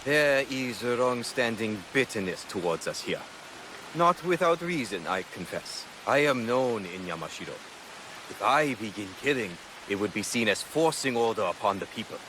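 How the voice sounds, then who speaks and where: A man playing a grave, dutiful samurai in a feudal Japan game, formal and deliberate. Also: fairly low pitch, animated, background noise, natural voice.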